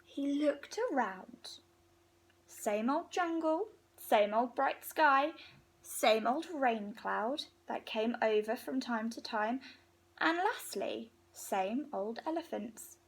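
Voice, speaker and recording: Female storybook reader for young children: slow, animated delivery with a breathy edge and deliberate pauses. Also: fairly high pitch, low-quality recording. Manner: unhurried, animated, pauses between phrases for young listeners. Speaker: teacher reading a picture book to young children. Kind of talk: expressive children's storybook read-aloud.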